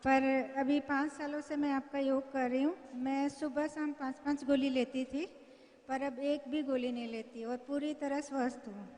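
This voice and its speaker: A woman's voice: sits high, little pitch movement, moderate pace, a little mellow. A woman in her fifties giving a grateful, reverent personal testimonial to her guru. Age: 50s. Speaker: camp participant giving a testimonial. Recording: reverberant large hall.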